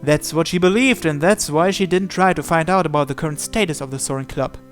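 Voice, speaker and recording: A man's voice: a little high, brisk. A man reading a visual novel aloud as story narration. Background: game music.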